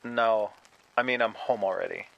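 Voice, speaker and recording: A man playing an awkward, apologetic young friend on the phone in an audio drama, speaking in a halting, hesitant way. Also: fairly low pitch, vocal fry, lo-fi sound. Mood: awkward, casual.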